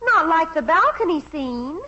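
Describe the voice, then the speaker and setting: An actress playing an earnest, romantic and easily indignant American teenage daughter in 1950s radio sitcom style. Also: female voice, very high-pitched, very animated, unhurried, pushed and bright, full character voice. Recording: muffled, noisy vintage radio recording.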